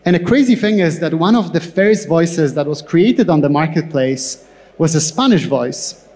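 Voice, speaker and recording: Higher-pitched man speaking in an echoey hall. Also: animated, darkish tone. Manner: halting, repeats words as he explains. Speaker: tech company co-founder, panel guest. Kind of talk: on-stage interview answers. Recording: echoey hall with long reverb.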